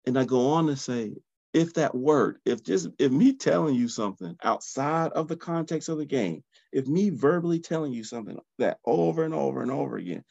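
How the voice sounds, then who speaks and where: A man hosting a solo podcast in a groggy early-morning voice, talking in an animated, conversational way and often restarting his phrases. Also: medium pitch, creaky, dry recording, muffled recording. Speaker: podcast host, soccer training founder.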